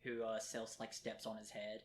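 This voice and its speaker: A man's voice: fairly low pitch, monotone, normal speed, a little hiss or hum. A man co-hosting a casual podcast from a kitchen table at home, talking eagerly and rambling a bit. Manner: enthusiastic, rambling, repeats words. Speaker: podcast co-host. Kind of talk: casual chat.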